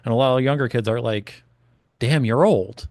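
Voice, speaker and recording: A man's voice: mid-range voice, moderate pace, darkish tone, dry recording, clean studio sound. A man from chatting casually, telling stories and swearing now and then. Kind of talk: casual chat.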